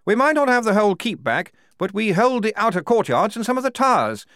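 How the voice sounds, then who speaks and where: A man voicing a blustering, hearty young army major for an audiobook, booming and jovial, tacking "eh?" onto his lines. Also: very animated, a little fast, a bit bright, no room sound, studio quality, heavily acted. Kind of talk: audiobook character voice.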